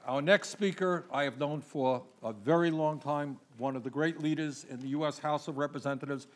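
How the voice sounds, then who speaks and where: A man introducing speakers at a rally in a measured, formal way. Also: fairly high pitch. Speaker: event emcee introducing speakers.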